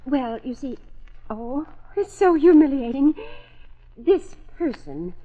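A woman's voice: high-pitched, sing-song, slow, full character voice. A woman playing a flustered, genteel politician's wife in a 1940s radio drama, hesitant and melodramatic. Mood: anxious, humiliated. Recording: dull lo-fi 1940s radio recording.

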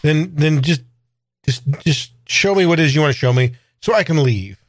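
A man's voice: a little high, moderate pace, no room sound, studio quality, natural voice. A man playing a wary, impatient late-teen younger brother in a gothic tabletop game, stammering and repeating himself. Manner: stammering, repeating words in agitation.

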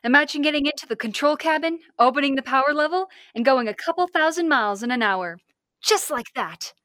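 Young woman as spirited, high-voiced girl soldier. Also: animated, brisk, pushed and bright, no echo, studio quality. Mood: lively, amazed. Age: young adult. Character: spirited, curious young female space soldier. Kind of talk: 1930s radio serial acting.

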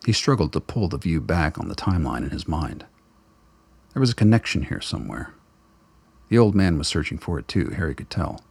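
A man with a gravelly, husky voice giving dramatic scripted narration for a radio play. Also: extremely low pitch, big pitch swings, brisk, a little mellow, no room sound.

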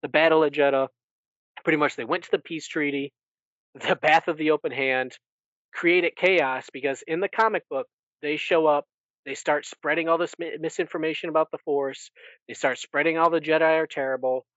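A man podcast host giving a casual recap in an animated storytelling way. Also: on the high side, normal speed, dry recording, dull audio.

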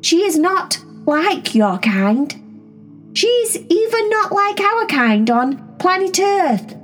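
A woman voicing an excitable, chatty young female cat who gushes and rambles in a storybook. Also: high voice, a little slow, soft background music, pitched above the performer's own voice. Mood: excited. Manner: gushing, breathless, rambling.

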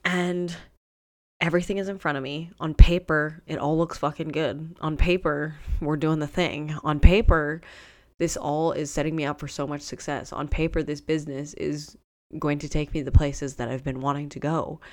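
A woman's voice: deep voice, little pitch movement, moderate pace. A young woman hosting her own podcast, talking frankly and swearing freely in a rambling solo monologue while sniffly from a cold. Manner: frank and heavily profane, rambling with fillers. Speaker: podcast host.

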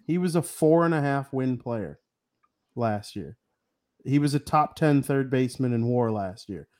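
A man's voice: mid-range voice, lively intonation, measured pace, darkish tone. A man co-hosting a podcast, arguing his baseball points with stats in a casual chat. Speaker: podcast co-host.

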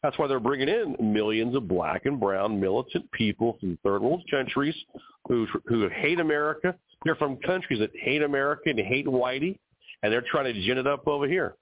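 A man's voice: medium pitch, animated, moderate pace. A man hosting an opinionated call-in radio show, talking emphatically and addressing listeners directly. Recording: narrow phone-like radio sound.

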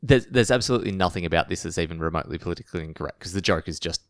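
A man co-hosting a podcast in relaxed, rambling casual chat. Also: sits low, very fast, dry recording. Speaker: podcast co-host.

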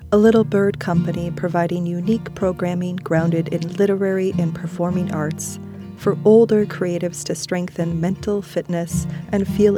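A woman hosting a podcast, speaking in a presenter's style for introductions and interview talk. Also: lowish voice. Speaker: podcast host. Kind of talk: scripted narration and warm-up interview chat.

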